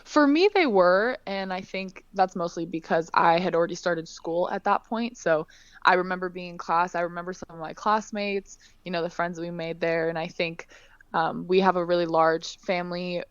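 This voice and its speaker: A woman's voice: lowish voice, moderate pace, clear, resonant tone, no room sound, highs cut off. A woman in her twenties with a Californian American accent, chatting upbeat in an interview. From Scotland, raised in California. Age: 20s. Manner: upbeat, chatty, uses um and you know. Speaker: professional basketball player, guest. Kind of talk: casual interview answers.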